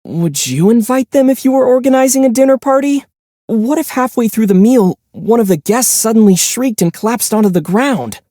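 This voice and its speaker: A man voicing a calm, gentle young traveler hero in a video game, speaking thoughtfully with mild teasing. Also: very high voice, no room sound. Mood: matter-of-fact. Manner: measured, thoughtful, mildly teasing. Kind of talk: video game character voice lines.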